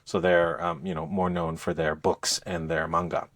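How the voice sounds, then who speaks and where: A man speaking as a podcast co-host, explaining things in a casual, halting, conversational review style. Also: low-pitched, flat delivery, fairly bright tone. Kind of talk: casual game review chat.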